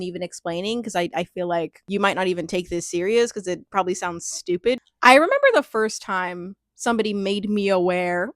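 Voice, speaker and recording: A young adult woman speaking candidly in a reflective personal monologue, thoughtful and searching, with frequent "like" fillers and soft laughs. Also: mid-range voice, no room sound, clean studio sound. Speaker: personal storyteller.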